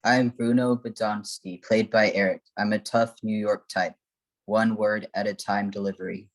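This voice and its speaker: An older man playing a tough New York type in a radio play, deadpan, one word at a time. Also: on the low side, moderate pace, natural voice, poor audio.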